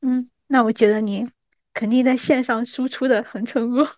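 A woman's voice: on the high side, fairly even intonation, normal speed, no echo, muffled recording. A woman in her thirties hosting a casual podcast chat in an agreeable, conversational way. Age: 30s. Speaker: podcast host.

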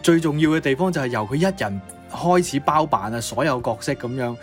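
A man's voice: mid-range voice, animated, fairly quick, faint music behind. A man with a Cantonese accent chatting casually as a podcast co-host, responsive and readily impressed. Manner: responsive, exclaiming in admiration. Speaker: radio co-host.

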